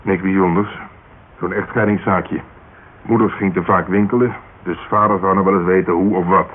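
An actor playing a wry, cynical police detective in a radio drama, speaking colloquial in an offhand way. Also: male voice, sits low, steady tone, normal speed, lightly acted. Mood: matter-of-fact. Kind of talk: Dutch radio drama dialogue. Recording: dull, hissy old radio recording.

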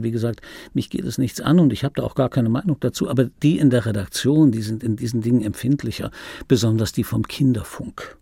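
Gravelly, wry middle-aged man. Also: medium pitch, dark tone, no echo, clean studio sound. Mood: agitated retelling. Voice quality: rough, gravelly. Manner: sardonic, conversational storytelling. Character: disgruntled, wry male film dubbing actor telling his life. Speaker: narrator and lead of a radio play. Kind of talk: scripted radio play monologue.

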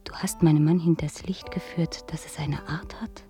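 Actress as mellow, flirtatious village wife Suzanne. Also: female voice, deep voice, fairly even intonation, moderate pace, whispered, soft background music, natural voice. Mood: coy, coaxing. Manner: coy, coaxing. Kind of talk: radio play dialogue.

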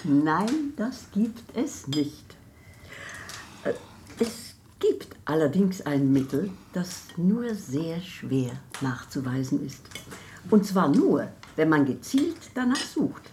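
A woman's voice: sits low, very animated, takes it slowly, dark tone, slight background noise, full character voice. An actress in a German radio drama playing a worldly, assured woman crime novelist and hostess. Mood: amused, knowing.

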